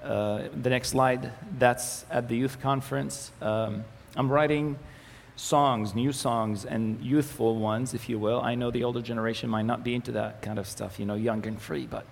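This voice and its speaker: A young man from the Galilee whose first language is Arabic, presenting his ministry to a church audience in a relaxed, joking manner, with many fillers and restarts. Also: mid-range voice, moderate pace, gravelly. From Israel, Galilee. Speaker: ministry communications manager giving a presentation. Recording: echoey hall with faint noise.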